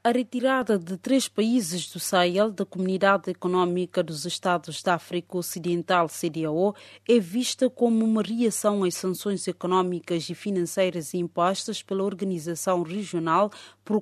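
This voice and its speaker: A woman's voice: lowish voice, normal speed, no room sound, studio quality. A woman narrating a scripted radio news report as a reporter.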